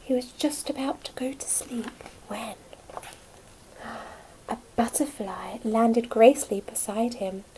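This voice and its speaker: A woman speaking as a nursery teacher, telling a story to young children in a simple, expressive way. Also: a little high, a little slow, noisy background.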